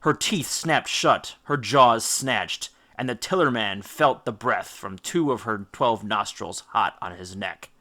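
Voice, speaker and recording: Bright, forceful male storybook narrator. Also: on the low side. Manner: theatrical, emphatic, with deliberate dramatic stresses. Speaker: audiobook narrator. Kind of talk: dramatic scripted storybook narration.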